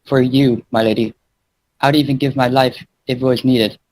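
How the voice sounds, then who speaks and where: A man's voice: medium pitch, fairly even intonation, moderate pace, a little mellow, lightly acted, poor audio. A man voicing a polite, devoted young manservant in a fan fiction reading, courteous and deferential. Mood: devoted, solemn.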